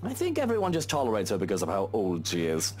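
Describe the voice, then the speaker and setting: A man playing a haughty, sharp-tongued wealthy young lord in an imperious, theatrical way for a visual novel game. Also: lowish voice, huge pitch swings, quick pace, soft background music. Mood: flippant, amused.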